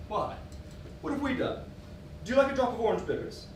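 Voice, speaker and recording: A bewildered, well-meaning friend acted by a woman exclaims theatrically with wildly swinging intonation. It is a stage performance heard from far off in a very echoey room with clear background noise. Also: a little high, sing-song, brisk. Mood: friendly, puzzled. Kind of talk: stage acting in a one-act play. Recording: echoey, distant, noisy theatre stage recording.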